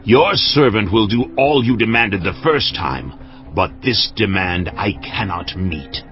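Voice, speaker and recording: Slow, low-voiced king. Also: animated. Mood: firm, aggrieved. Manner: slow, deliberate delivery. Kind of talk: dramatised audio Bible acting. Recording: dull lo-fi audio with music. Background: background music.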